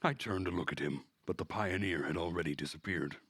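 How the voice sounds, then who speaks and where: Very deep-voiced man reading a story aloud. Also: brisk, a bit bright, breathy edge, dry recording. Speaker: streamer reading a visual novel aloud. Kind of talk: narration of a story read aloud.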